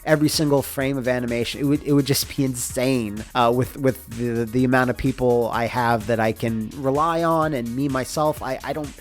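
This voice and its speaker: A man's voice: mid-range voice, fairly even intonation, normal speed, hardly any pauses. Casual man narrating over music. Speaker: game developer and narrator. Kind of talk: informal scripted narration of a behind-the-scenes explainer. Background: music under the voice.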